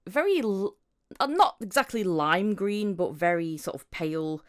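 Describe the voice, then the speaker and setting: A woman hosting a casual interview podcast, chatty and giggly, with a halting way of putting her questions. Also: mid-range voice, lively intonation.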